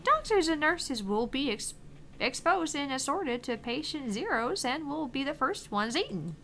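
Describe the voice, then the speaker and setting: A woman's voice: high voice, big pitch swings, measured pace, pushed and bright, slight background noise, full character voice. A woman voicing an eccentric, earnest older female arcade owner, theatrical and probing as she reads visual novel dialogue. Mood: matter-of-fact.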